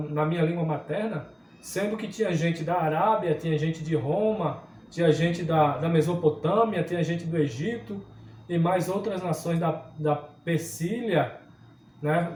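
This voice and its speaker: A man's voice: high voice, darkish tone, roomy sound, recorded from a distance, slight background noise. A man from southern Brazil teaching a Sunday school Bible lesson, earnest and explanatory, often checking in with his listeners. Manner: earnest teaching, frequent tag questions. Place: church room.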